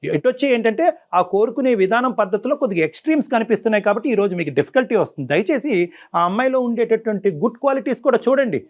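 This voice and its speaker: A man's voice: very high voice, animated, rapid-fire. A man giving emphatic advice as a counsellor on a talk show, repeating words for stress, in a dull, muffled recording. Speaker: family counsellor.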